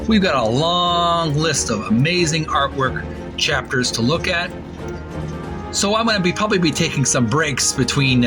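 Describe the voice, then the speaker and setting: A man hosting a retro gaming channel, talking casually and reading aloud in a halting, deliberate, stumbling way. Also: a little high. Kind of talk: casual read-aloud commentary.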